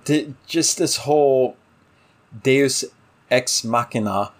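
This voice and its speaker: A man's voice: medium pitch, slow pace. Exasperated man ranting on a discussion show. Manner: exasperated, ranting, emphatic, rhetorical questions. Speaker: podcast co-host reviewing a TV series. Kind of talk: casual opinionated episode discussion.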